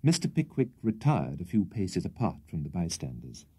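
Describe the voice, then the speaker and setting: A man's voice: deep voice, big pitch swings, moderate pace, mellow, breathy edge. A British man reading a literary audiobook as storyteller, in a precise, theatrical manner. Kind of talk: scripted literary audiobook narration.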